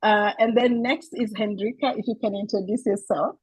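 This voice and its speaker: A woman's voice: medium pitch, fairly even intonation, normal speed, husky, no echo, rough recording. A woman hosting a casual faith-based group chat, introducing friends and encouraging listeners. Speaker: host of a women's group talk.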